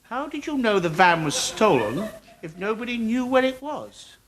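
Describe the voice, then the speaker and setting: An actor playing a petulant middle-aged English husband in a TV sitcom, talking in an exasperated, sarcastic, emphatic way. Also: male voice, high voice, big pitch swings, moderate pace, a crowd in the background. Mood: indignant. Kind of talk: TV sitcom acting. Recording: faintly noisy old TV sitcom copy.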